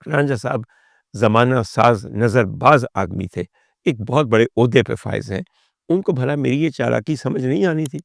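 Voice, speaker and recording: A man with a Pakistani accent recounts his own experiences like a practised raconteur. His voice is gravelly and creaky at a middling pitch, with dramatic emphasis, and the sound is close and dry. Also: moderate pace, dry recording. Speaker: talk show guest and storyteller. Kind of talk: personal storytelling monologue to an audience.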